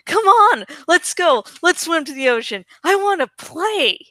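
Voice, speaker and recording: A woman voicing a playful, excitable girl turned dolphin in a story reading, with eager, childlike pleading. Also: very high voice, a little slow, dry recording, voice pushed up, heavily acted. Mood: excited, urging.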